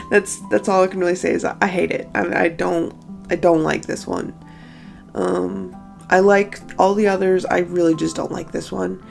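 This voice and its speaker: Young woman art vlogger, hoarse and gravelly, lowish voice, chatty and self-critical, faint music underneath. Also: measured pace, a little mellow. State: hoarse, stuffy morning voice. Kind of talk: casual talking-head recap.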